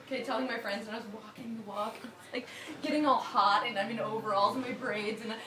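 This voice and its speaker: A woman's voice: on the high side, steady tone, fairly quick, sharp tone, echoey room, far from the mic, a little hiss or hum, poor audio. A young woman from Michigan telling a personal story in a chatty, giggly way, with many fillers and bursts of laughter.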